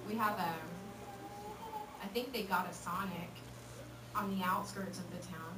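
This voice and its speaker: A woman from Texas giving a lively, joking talk to a youth group, often acting out voices. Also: on the low side, measured pace. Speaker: youth speaker. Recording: echoey hall, picked up from far off, noisy.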